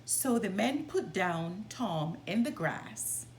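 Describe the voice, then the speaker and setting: A woman reading a children's story aloud as a storytime host, with expressive, deliberate delivery. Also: mid-range voice, a little hiss or hum.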